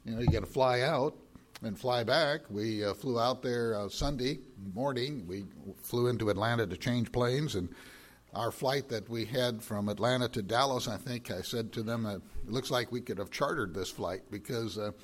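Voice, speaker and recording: An older man, a pastor, with a slightly raspy voice, talking to his congregation in a rambling way, with many pauses and filler words. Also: medium pitch. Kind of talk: sermon announcements.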